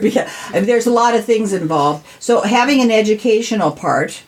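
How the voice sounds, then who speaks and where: American lady on an interview, low voice, earnest, leans on words and repeats them. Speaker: city council member, podcast guest. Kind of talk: conversational interview.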